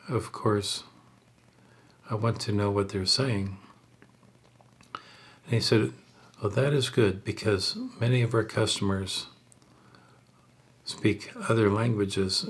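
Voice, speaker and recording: A man telling a story aloud in a halting, measured way, unpolished and in short phrases. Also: on the low side.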